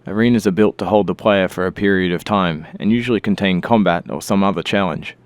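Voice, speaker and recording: A man's voice: low-pitched, brisk, dry recording. A man giving scripted developer commentary as a game designer, explaining design choices.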